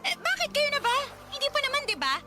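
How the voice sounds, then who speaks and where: A woman's voice: extremely high pitch, very animated, fast talker, over music. A woman acting a brazen, snippy young romantic rival in a Filipino radio drama, taunting and dismissive.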